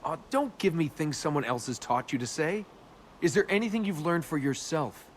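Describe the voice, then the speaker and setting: A man's voice: fairly high pitch, lively intonation, normal speed, close to an everyday voice. A man playing a cynical, roguish young adventurer in a video game, speaking in a casual, guarded, wry way. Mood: bitter. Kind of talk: naturalistic video game dialogue. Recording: game ambience noise behind voice.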